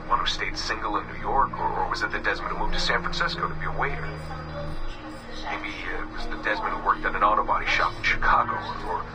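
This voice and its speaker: A male actor playing a weary, wry young American man in a reflective, halting video game monologue. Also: lowish voice, natural voice. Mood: pensive, wry. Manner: halting, musing, hesitant. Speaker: game protagonist narrator. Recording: distant-sounding voice under music. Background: music.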